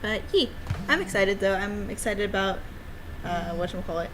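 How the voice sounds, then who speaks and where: A woman's voice: medium pitch, expressive, normal speed. Playful woman chatting casually over background noise. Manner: playful, uses cutesy exclamations. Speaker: streamer and amateur game developer. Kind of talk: casual rambling livestream chat.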